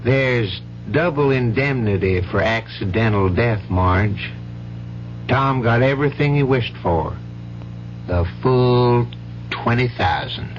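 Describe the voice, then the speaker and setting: An actor playing a steady, plain-spoken man in a 1970s radio drama, measured and matter-of-fact as he consoles a widow. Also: medium pitch, soft background music. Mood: calm, frank. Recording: dull vintage radio recording, faint hum.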